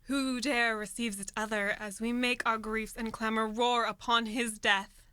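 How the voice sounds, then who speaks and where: A woman's voice: a little high, little pitch movement, normal speed, sharp tone, smooth, dry recording, studio quality. A young woman acting a ruthless, ambitious noblewoman who goads in emphatic Shakespearean verse for a student radio play. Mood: resolute, persuasive. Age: young adult. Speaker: student actor.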